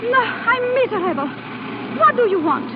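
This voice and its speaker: A woman's voice: very high voice, very animated, moderate pace, background noise. A woman acting a young, penniless singing acrobat with a wistful, coquettish manner in an old radio drama. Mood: miserable. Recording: muffled vintage radio recording with music.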